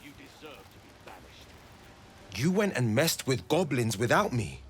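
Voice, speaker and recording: A man's voice: medium pitch, big pitch swings, unhurried. A man playing an aggrieved but resolute adventuring companion in a video game, speaking dramatically and with reproach. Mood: bitter, reproachful. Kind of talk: video game character acting.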